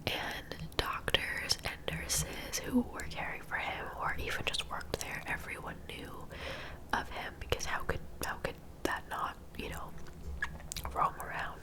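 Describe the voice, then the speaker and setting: Softly whispering woman, ASMR storyteller. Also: rough recording. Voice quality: soft, breathy unvoiced whisper. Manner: gentle, informal, confiding asides. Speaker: host of a true crime ASMR series.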